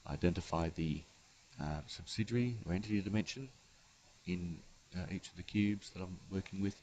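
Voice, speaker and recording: Male software presenter, low voice, halting step-by-step walkthrough, unscripted screencast delivery. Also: muffled recording, slight background noise. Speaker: software developer giving a demonstration. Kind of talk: unscripted screencast tutorial narration.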